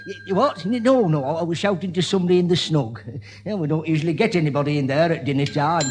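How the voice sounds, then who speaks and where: A man's voice: fairly high pitch, fast talker, long reverb tail, lightly acted. A man playing a flustered, easily riled pub landlord with a northern English accent in a radio sitcom. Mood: harried. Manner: flustered, blustering. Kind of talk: old-time radio sitcom acting.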